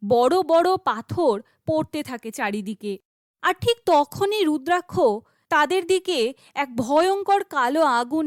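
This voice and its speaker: Casting: female storybook narrator, high pitch, slow scripted fairytale delivery. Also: no room sound, studio quality.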